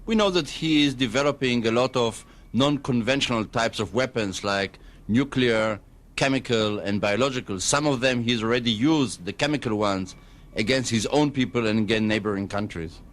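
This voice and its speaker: A man answering radio interview questions as an official spokesman, in a measured, diplomatic, halting way. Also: medium pitch. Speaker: embassy spokesman, interview guest.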